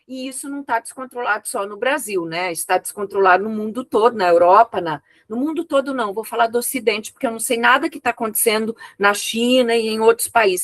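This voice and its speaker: A woman with a fairly high voice, speaking a second language after growing up with an unwritten oral mother tongue, gives an examiner's frank and thoughtful critique. She often stops on short filler sounds while she works out her next point. The sound is dry and close, as on a video call. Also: fairly bright tone, dry recording. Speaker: examiner on a master's thesis committee. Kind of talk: spoken academic feedback to a student.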